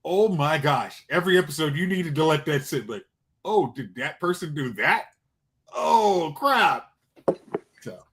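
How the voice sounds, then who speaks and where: A man's voice: on the high side, animated, measured pace, a bit bright. A man co-hosting a podcast, in casual chat about pop culture. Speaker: podcast co-host.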